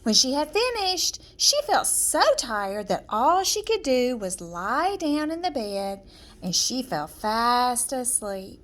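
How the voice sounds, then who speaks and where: A woman narrates a children's fairy tale in a high voice that moves through lively swings of pitch. She takes her time, phrasing each part deliberately and with storybook drama. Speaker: storyteller reading aloud.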